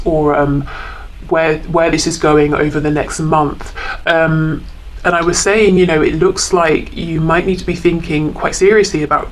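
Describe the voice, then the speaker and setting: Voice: mid-range voice, slight background noise, poor audio. A tarot reader talking informally through a card reading, thinking aloud in a hesitant, reflective way and reading out messages.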